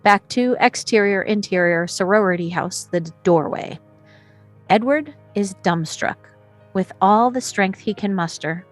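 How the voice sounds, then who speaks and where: A woman's voice: medium pitch, unhurried, no echo, soft background music. A woman reading scripted stage directions as the narrator of a screenplay table read.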